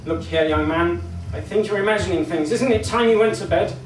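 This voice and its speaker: Man acting out storybook dad, amused, commanding, sly, high-pitched, distant echoey recording. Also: brisk, slight background noise, natural voice. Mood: indignant. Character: chess-playing father, amused, commanding, slyly teasing.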